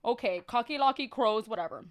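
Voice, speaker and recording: A woman storyteller hosting a comic live reading. Her voice is on the high side. She mixes narration with sassy, slangy, exasperated asides. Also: lively intonation, fairly quick. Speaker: storyteller, live stream host.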